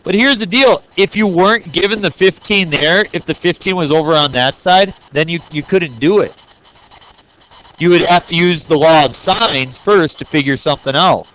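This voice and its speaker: Male teacher, higher register, playful and jokey, classroom delivery. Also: normal speed, a bit bright, a little hiss or hum, poor audio. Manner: jokey, playful, talks straight to students. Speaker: math teacher. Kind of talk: classroom lesson recorded on video.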